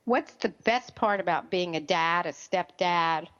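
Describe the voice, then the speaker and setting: A woman's voice: on the low side, a little slow, fairly bright tone, smooth. A woman hosting a radio talk show, chatting in a direct, friendly way. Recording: dull lo-fi radio audio.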